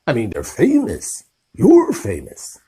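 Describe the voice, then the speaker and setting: A man voicing a huge, hot-tempered but kindly gamekeeper, booming and growling in an audiobook. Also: wildly swooping pitch, slow pace, dark tone, breathy edge, heavily acted. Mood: bewildered. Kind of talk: audiobook character voice.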